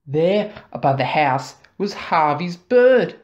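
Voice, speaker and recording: A woman reads a children's story in a low voice with lively, sweeping intonation. She takes it slowly and deliberately, stretching key words for drama. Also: muffled recording. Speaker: storybook reader. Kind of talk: expressive children's story narration.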